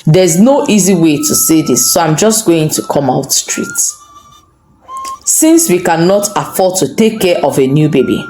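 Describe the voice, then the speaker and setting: A woman's voice: fairly low pitch, huge pitch swings, measured pace, mellow, echoey room, faint music behind. Woman voicing an earnest, worried husband. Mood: serious, persuasive. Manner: earnest, insistent. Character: worried, poor husband and labourer, firm and persuasive. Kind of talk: folktale storytelling dialogue.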